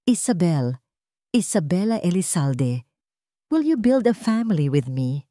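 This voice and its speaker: A woman's voice: fairly low pitch, very animated, unhurried, mellow, no room sound, studio quality. A woman with a Filipino accent voicing a devoted young billionaire in a romantic audio drama, speaking sincerely and tenderly. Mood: earnest, devoted. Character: earnest, devoted young male billionaire in love.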